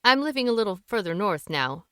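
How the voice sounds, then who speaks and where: A woman playing a calm, shrewd, wry woman asking questions, in an amateur radio play. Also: mid-range voice, a little fast, smooth, no echo, clean studio sound. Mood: dry.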